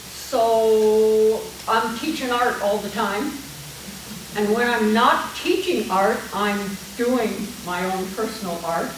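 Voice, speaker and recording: A woman in her seventies with a big voice, a retired teacher telling a small group about herself in a lively, joking way. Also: mid-range voice. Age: 70s. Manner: lively, chatty, jokey storyteller. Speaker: guest speaker, art teacher. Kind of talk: casual talk to a small group. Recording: echoey distant room sound with hiss.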